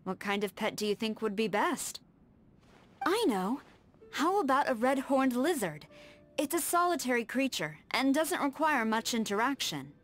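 An actress playing a stern, proud tengu warrior woman in a video game, with composed, firm and measured formal speech. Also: a little high, big pitch swings. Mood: composed, earnest. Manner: formal, measured, precise diction. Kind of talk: scripted video game character dialogue. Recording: studio voice over background music.

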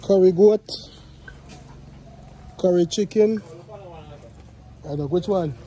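A man's voice: sits high, takes it slowly, mellow, breathy edge. A man with a Jamaican accent walking through a farmyard, filming on his phone and calling out playfully to the goats and birds. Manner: playful, calls out to the animals. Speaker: vlogger touring a farm. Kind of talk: casual walking commentary. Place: outdoor farmyard with animal pens. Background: farm animals and birds.

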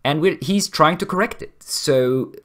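A man's voice: on the high side, measured pace, a bit bright. A man presenting his amateur voice acting in an informal, hesitant, self-effacing way. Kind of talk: informal video introduction and sign-off.

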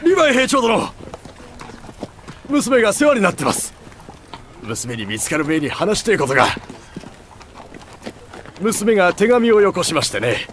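A man's voice: sits high, very animated, normal speed, long pauses, a bit bright, a little hiss or hum. An actor playing an anxiously deferential civilian father in an anime game, with colloquial, pointed speech. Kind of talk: Japanese anime game voice acting.

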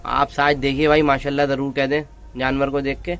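A man chats casually to his viewers while filming in an open-air courtyard, talking in a friendly show-and-tell way at a mid pitch. The phone audio sounds a little dull. Pigeons coo and flutter faintly behind him. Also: level tone, a little fast, a bit bright, slight background noise. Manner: chatty, addresses viewers as friends. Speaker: pigeon-keeping vlogger. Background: pigeons cooing and fluttering.

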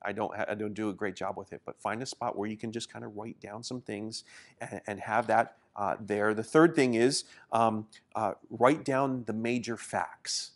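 A man's voice: on the low side, fairly even intonation, moderate pace. A man in his forties who grew up in Indonesia, teaching a sermon to camera in an earnest, encouraging way, often restarting his words. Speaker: pastor. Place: small studio set.

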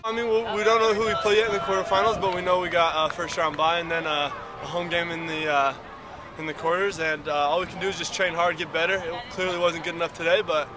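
A man's voice: on the high side, steady tone, fast, over loud music. A young man, a college soccer player, talking casually and upbeat after a game, outdoors by the stands at night. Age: young adult. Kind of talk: post-game interview.

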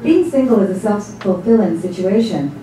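A woman playing the storyteller in a staged dramatic reading, narrating in a measured, literary way. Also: mid-range voice, lively intonation, warm tone, lightly acted. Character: observant storyteller narrating a bar scene. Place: small stage before a seated audience. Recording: very echoey hall, distant mic, faint noise.

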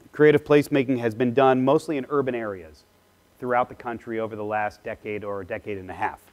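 A man in his forties talks through a keynote on a lapel mic in a large lecture hall. He is casual and self-deprecating, with plenty of "uh" fillers between thoughts. His voice sits at an ordinary middle pitch for a man. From Philadelphia area, US East Coast. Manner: gestures with a clicker while pacing the stage. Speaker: keynote speaker. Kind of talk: conference keynote talk.

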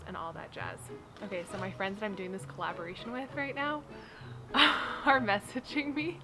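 A woman in her thirties giving a chatty, upbeat how-to talk to camera, seated outdoors in a backyard garden. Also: medium pitch. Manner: chatty and upbeat, laughs often, fillers like "like" and "you know". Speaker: homestead building vlogger. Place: backyard garden outdoors by wooden raised beds. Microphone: camera framed on her seated, medium shot.

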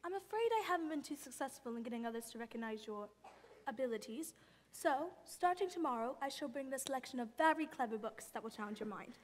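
A woman playing a gentle, earnest schoolteacher, polite and hesitant in a theatrical style. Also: high voice, expressive, fast, slight background noise. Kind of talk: theatrical stage acting. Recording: reverberant hall, faint music behind.

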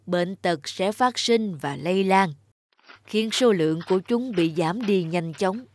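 A woman voicing a wise, patient old male tree spirit who teaches in a measured way in an animated fable. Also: medium pitch, dry recording. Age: older. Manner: measured, didactic, gently instructive.

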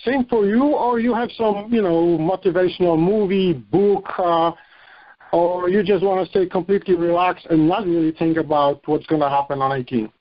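A man asking informal press questions over a conference phone line, halting and repeating his words. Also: high-pitched, steady tone. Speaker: boxing reporter asking questions. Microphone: telephone conference call.

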